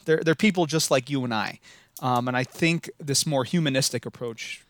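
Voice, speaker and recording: Midwestern guy, 30s, eager rambling talker, mid pitch, dry close recording. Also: moderate pace, no room sound. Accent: American Midwestern. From American Midwest. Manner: rambling, enthusiastic, many restarts. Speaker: podcast guest, living history reenactor. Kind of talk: casual podcast interview.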